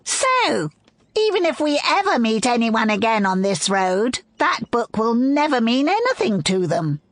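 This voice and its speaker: Woman doing a sneery old raven, cackly and slow, dry close sound. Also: a little high, big pitch swings, no room sound, voice pushed up, exaggerated voice. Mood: scornful. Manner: cackling, scornful.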